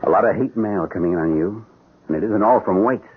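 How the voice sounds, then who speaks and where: An actor playing a pragmatic, hard-nosed defense lawyer in a radio drama, persuasive and worldly. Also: male voice, fairly low pitch, a little fast, breathy edge. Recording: muffled narrow-band radio drama recording.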